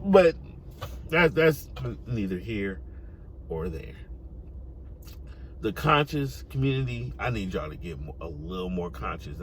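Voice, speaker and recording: Male American online commentator, animated and exhorting, casual slangy monologue with faint noise behind the voice. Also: deep voice. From United States. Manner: emphatic, exhorting, repeats phrases for effect. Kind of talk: opinionated informal monologue.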